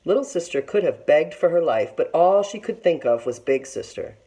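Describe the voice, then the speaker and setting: A woman teacher reading a children's story aloud in an expressive narrating style. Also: deep voice, moderate pace.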